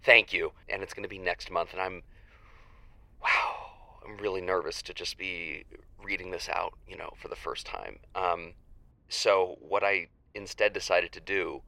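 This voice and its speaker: A man playing an anxious, insecure aspiring novelist calling a radio show in an audio drama, halting and flustered. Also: low-pitched, steady tone, gravelly, bright, forceful tone, no echo, exaggerated voice. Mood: nervous. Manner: halting, flustered, self-doubting. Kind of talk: semi-improvised audio drama.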